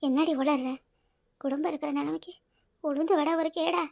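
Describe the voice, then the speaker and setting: A woman's voice: high voice, monotone, normal speed, natural voice. Woman playing a subdued, grumbling elderly village mother-in-law. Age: older. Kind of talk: Tamil family drama dialogue.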